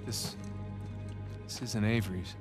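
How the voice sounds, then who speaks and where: An actor playing a keen, curious treasure hunter in a video game cutscene, casual yet intent. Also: male voice, fairly low pitch, fairly even intonation, slow pace, music in the background. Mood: intrigued.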